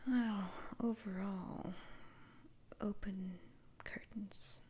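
A woman whispering an ASMR role-play as a kindly, slightly teasing witch doctor, soothing and hushed. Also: mid-range voice, steady tone, very slow, husky, heavily acted. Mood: relaxed, gently teasing.

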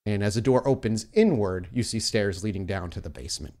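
A man's voice: on the low side, fairly quick, mellow. A man running a tabletop game as game master, narrating scenes and calling for dice rolls.

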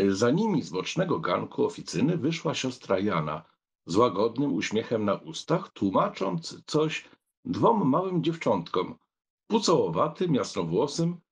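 A man narrates a literary audiobook. His voice is husky and of medium pitch, with lively, varied intonation as he reads.

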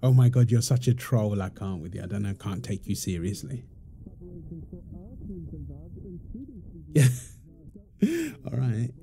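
A man playing a playful, affectionate young father in an intimate roleplay audio, chatting casually and softly as he teases. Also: lowish voice, sing-song, moderate pace, vocal fry, noisy background. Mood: flustered, amused. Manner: teasing, soft-spoken, chuckling, informal.

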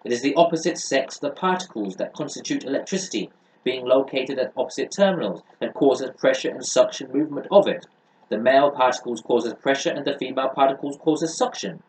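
Young male reader, mid-range pitch, declamatory book reading, small-room camera sound. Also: fairly quick, darkish tone, a little hiss or hum, low-quality recording. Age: young adult.

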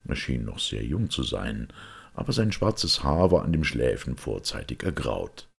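A man's voice: extremely low pitch, very animated, a little slow, vocal fry, husky. A man reading a detective story aloud as scripted literary narration. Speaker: audiobook reader.

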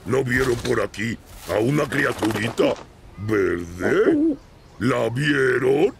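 A man voicing a strange, furtive cartoon character with a gravelly voice and drawn-out delivery. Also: medium pitch, sing-song, background noise, full character voice. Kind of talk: animated cartoon acting. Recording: music behind the voice.

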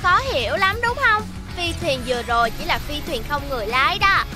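A woman's voice: high-pitched, lively intonation, brisk, over music. A woman voicing a clever, outspoken little girl in a children's cartoon, earnest and explanatory. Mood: confident.

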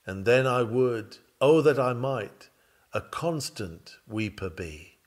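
A man's voice: medium pitch, lively intonation, takes it slowly. A man reading a sermon aloud in an earnest, oratorical way, with emphatic, dramatic phrasing. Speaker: reader of a historical sermon.

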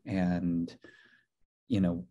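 A man with a low, warm voice talks over a webcam from a hotel room. He speaks thoughtfully as a film director, pausing on fillers and restarting sentences. His voice sounds relaxed and rather dark. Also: dull audio. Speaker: documentary co-director. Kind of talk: video-call interview. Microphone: video call webcam.

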